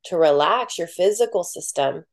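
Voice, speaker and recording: A woman guest in a casual podcast conversation, talking softly and reflectively with many filler words. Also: on the low side, animated, moderate pace. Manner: soft-spoken, many "like" fillers.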